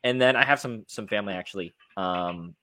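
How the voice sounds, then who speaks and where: Bright-voiced man hosting a football podcast. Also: on the low side, a little fast. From United States. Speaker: sports podcast host. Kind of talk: casual football fan discussion.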